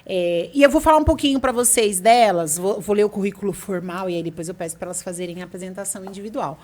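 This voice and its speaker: A woman's voice: mid-range voice, quick pace, darkish tone. A woman hosting a podcast, chatting in a bubbly, talkative way and laughing easily. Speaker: podcast host. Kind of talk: casual chat.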